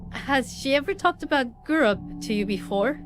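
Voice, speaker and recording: A woman in an audio drama playing a formal, unyielding company executive with a measured, officious manner. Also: high voice, animated, faint music behind. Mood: probing. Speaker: voice actor in fan audio drama.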